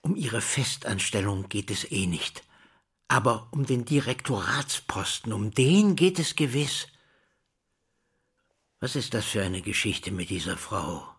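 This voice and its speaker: A man's voice: lowish voice, expressive, measured pace, fairly bright tone, breathy edge, full character voice. An actor playing a troubled older school director in a drama, stern, insistent and formal as he questions. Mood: pressing. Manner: insistent, interrogating, formal address. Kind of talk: German stage-style radio play dialogue.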